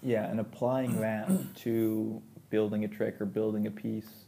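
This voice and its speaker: A man co-hosting a casual chat, talking in a halting, loosely phrased way. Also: fairly low pitch, level tone, vocal fry, dark tone. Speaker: magician, podcast co-host.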